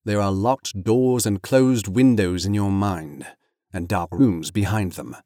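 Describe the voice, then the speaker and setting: A man's voice: fairly low pitch, slow, mellow, dry recording, clean studio sound. A man voicing a stern, grave captain from a storybook, judicious and commanding, with formal archaic phrasing. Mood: measured judgement.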